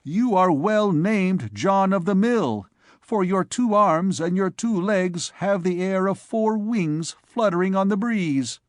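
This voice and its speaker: A man's voice: high voice, expressive, slow, no room sound, clean studio sound, pitched above the performer's own voice. A man voicing a merry young scholar, teasing and bantering theatrically, in a storybook reading.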